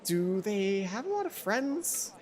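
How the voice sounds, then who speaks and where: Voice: mid-range voice, big pitch swings, slow pace, darkish tone. A let's player voicing a curious, naive new schoolgirl in a visual novel, with a plain, questioning delivery. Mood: inquiring.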